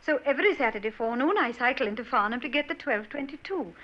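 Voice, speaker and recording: A woman's voice: high-pitched, animated, quick pace. A nervous young Victorian lady. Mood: worried. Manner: hesitant, breathless and genteel. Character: anxious young Victorian woman, polite and earnest. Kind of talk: 1940s radio acting. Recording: dull old radio broadcast.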